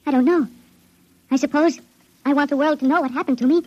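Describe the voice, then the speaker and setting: An actor in an old radio drama playing a frightened, excitable shrunken man, his voice sped up to sound tiny. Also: brisk. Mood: anxious, bleak. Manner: urgent, anxious. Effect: sped-up, pitched-up tiny voice. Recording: noisy, dull vintage radio recording.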